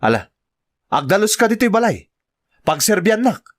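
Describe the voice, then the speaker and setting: A man's voice: sits high, sing-song, normal speed. A man with an Ilocano Filipino accent playing a cruel, domineering master of the house in an audio drama. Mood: bossy. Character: cruel, domineering man keeping a woman as servant. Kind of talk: amateur radio-style drama acting. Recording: music behind the dialogue.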